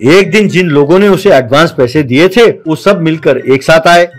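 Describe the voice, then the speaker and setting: A man tells a scripted story in a fairly high voice. Faint music plays behind his narration. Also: normal speed.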